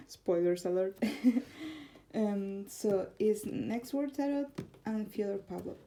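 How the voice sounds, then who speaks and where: A woman's voice: mid-range voice, slow pace, mellow, a little hiss or hum. A woman from Argentina with an Argentine Spanish accent, chatting casually about her tarot decks and laughing often. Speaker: tarot vlogger. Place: home room, neighbor's car heard outside.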